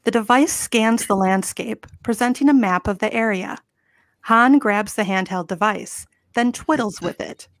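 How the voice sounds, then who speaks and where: A woman's voice: medium pitch, a little slow, no echo. Woman narrating stage directions, dry close sound. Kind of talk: scripted narration at comedy table read. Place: home room. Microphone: home video call.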